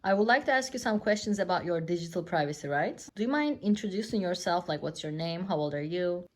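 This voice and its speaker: A woman's voice: lowish voice, expressive, fast talker. A woman interviewer putting prepared survey questions to people.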